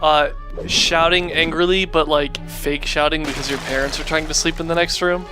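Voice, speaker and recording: A man with a slightly high voice joins in as a game co-commentator. He chats and jokes casually, with prominent game music behind his words. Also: fairly even intonation, normal speed. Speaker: co-commentator on a video game playthrough. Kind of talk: casual joking chat. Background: loud game music and effects.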